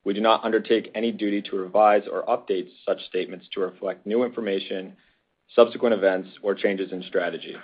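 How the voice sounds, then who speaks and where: A man with a very low, slightly rough voice reads a formal scripted statement. His delivery is matter-of-fact and level, with little melody. The sound is dull, like a conference call line. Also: fairly even intonation, a little fast. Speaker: investor relations officer opening an earnings call. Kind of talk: scripted disclaimer reading. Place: corporate earnings conference call. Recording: dull, band-limited conference call audio.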